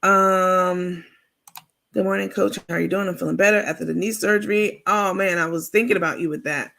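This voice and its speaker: A woman with a raspy voice hosting a casual livestream, chatting informally with viewers and reading out their comments. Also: fairly low pitch, steady tone, a little fast, fairly bright tone. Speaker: livestream host.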